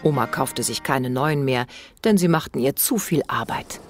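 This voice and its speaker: A woman reads a children's bedtime story expressively. Her low voice moves with lively intonation, and faint music plays behind her. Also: normal speed. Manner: expressive read-aloud delivery.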